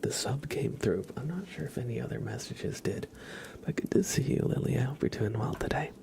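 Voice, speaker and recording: A man hosting an ASMR livestream, chatting softly and breathily to viewers, unhurried and often repeating words. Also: mid-range voice, expressive. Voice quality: whispered, breathy soft voice. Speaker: livestream host.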